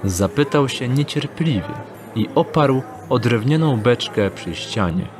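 A man narrating an audio drama in an even storytelling tone. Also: mid-range voice, unhurried, over music.

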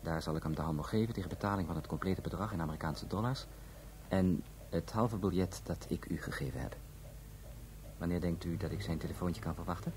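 An actor playing a formal, guarded drug supplier who speaks with measured politeness in a Dutch radio play. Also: male voice, low voice, a little mellow, lightly acted. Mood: businesslike. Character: courteous, guarded foreign drug supplier, a man. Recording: noisy radio drama recording.

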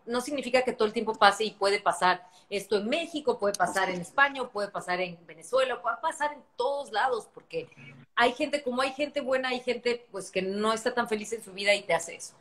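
A woman's voice: a little high, fairly quick. A woman in her thirties with a Mexican accent, talking earnestly in a casual video call from her living room and gesturing with her hands. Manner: earnest, animated hand gestures, many restarts. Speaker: actress hosting an Instagram live. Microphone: phone on a video call.

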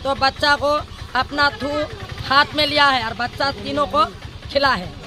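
A man's voice: very high voice, measured pace. A man from a village, talking outdoors to a reporter in rambling plain local speech. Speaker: villager interviewed. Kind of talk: street interview.

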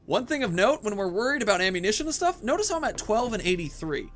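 Higher-voiced man narrating his gameplay. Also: very animated, quick pace, a bit bright, slight background noise. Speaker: gaming video host. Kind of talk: casual gameplay commentary.

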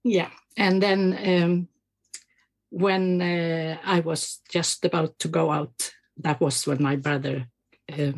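A woman's voice: lowish voice, steady tone, takes it slowly. A Swedish woman in her fifties with a Swedish accent, a doctor guest speaking reflectively and hesitantly. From Sweden. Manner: hesitant, many fillers and restarts, reflective. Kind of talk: interview conversation.

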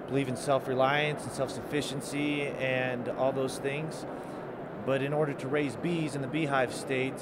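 A middle-aged man explains a bill at mid pitch, earnest and halting, with plenty of uhs and restarts. He speaks into a handheld interview mic in a big domed rotunda, and loud hall noise runs under every word. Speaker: state legislator. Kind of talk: on-camera interview. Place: large domed capitol rotunda, indoors. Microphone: handheld mic held by interviewer.

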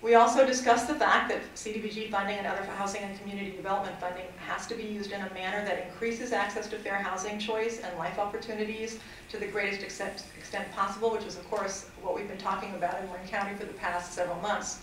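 Middle-aged woman, public comment, earnest and halting, flat delivery, echoey hall, off-mic. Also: mid-range voice, a little hiss or hum. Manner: earnest, hesitant with many fillers and restarts. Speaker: fair housing advocate at public hearing. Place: council chamber. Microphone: desk mic at a distance. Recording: echoey chamber, far from mic.

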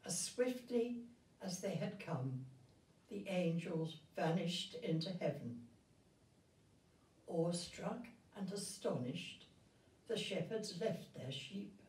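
Slow-reading older woman, mellow voice. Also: lowish voice. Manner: measured, deliberate phrasing with pauses. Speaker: story reader. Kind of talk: reading a children's nativity story aloud. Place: indoor room, seated before a festive backdrop. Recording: roomy, distant microphone.

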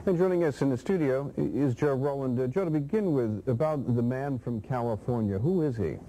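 An older man anchoring a local TV news show, reading the lead-in and questioning a reporter in the studio with halting, measured phrasing. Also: mid-range voice, background noise. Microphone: lapel mic.

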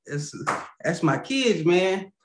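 A man's voice: high voice, noisy background. A man who is an assistant pastor and puppeteer, chatting casually and laughing, with a voice that is not feeling well. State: unwell voice. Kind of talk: casual video call chat. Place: home living room. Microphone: video call. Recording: echoey room on video call.

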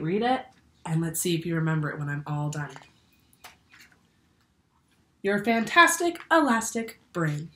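A young woman reading a children's picture book aloud like a teacher, expressively, asking the listeners questions. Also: medium pitch. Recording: roomy indoor echo.

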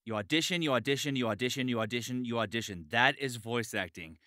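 A man's voice: mid-range voice, quick pace, sharp tone, dry recording, clean studio sound. A man talking in a casual podcast chat, earnest and emphatic, repeating key phrases. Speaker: voice actor, podcast guest.

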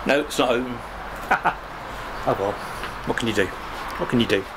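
A man's voice: mid-range voice, moderate pace. A man in his fifties from England, chatting casually and rambling to a handheld camera while walking around a country churchyard. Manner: chatty, rambling, self-deprecating asides. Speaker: travel vlogger. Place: outdoors by a roadside country churchyard. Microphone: handheld selfie camera at arm's length. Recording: traffic noise and wind outdoors. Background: passing traffic and wind.

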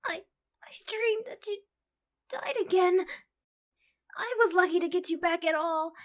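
Very high, vulnerable boy fox, acted by a woman. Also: unhurried, fairly bright tone. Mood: shaken, tender. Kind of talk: fan comic dub.

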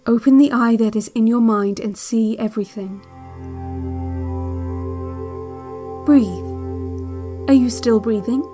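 Audio drama: actress as institute relaxation-tape instructor, female, higher-pitched, calm and controlling, deliberate meditation delivery over clear music bed. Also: natural voice. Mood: calm, instructive. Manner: measured, deliberate, hypnotic, clinical. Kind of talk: slow guided-meditation narration in audio drama. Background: new-age music.

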